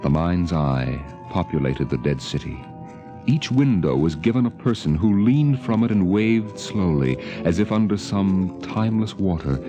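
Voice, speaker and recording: A man narrating a 1950s radio drama in a solemn, lyrical, dramatic manner. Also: low voice. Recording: old radio recording with music.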